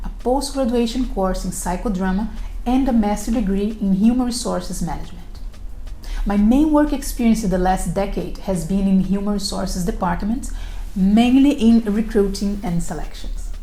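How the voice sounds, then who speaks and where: A middle-aged woman with a non-native English accent, presenting a prepared video introduction in careful, deliberate phrasing. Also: mid-range voice, mellow. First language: not English. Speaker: recruiter presenting a video series. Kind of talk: prepared self-introduction to camera. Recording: roomy echo, faint background noise.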